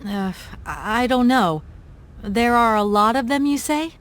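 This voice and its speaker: A woman's voice: a little high, lively intonation, a little slow. A woman playing a determined, polite young adventurer in a video game, speaking in an earnest, courteous way. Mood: earnest, urgent. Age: young adult.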